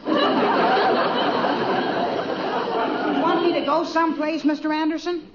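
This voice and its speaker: Earnest, high-pitched teenage boy. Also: little pitch movement, fast, over a noisy crowd. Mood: naive, eager. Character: earnest, clueless, know-it-all teenage boy. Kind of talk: 1940s-50s radio sitcom acting. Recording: muffled, faintly noisy vintage radio recording.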